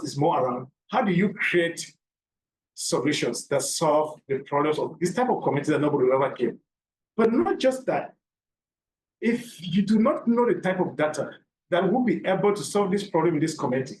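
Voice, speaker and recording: A man from Cameroon giving a seminar lecture, explaining by repeating key points and asking rhetorical questions. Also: fairly high pitch. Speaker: academic presenter. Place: lecture room. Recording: roomy, from a distance, faint background noise.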